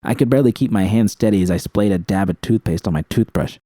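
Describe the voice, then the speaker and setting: A man reading first-person fiction as an audiobook, with tense, expressive dramatic storytelling. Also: lowish voice, quick pace, no room sound, studio quality.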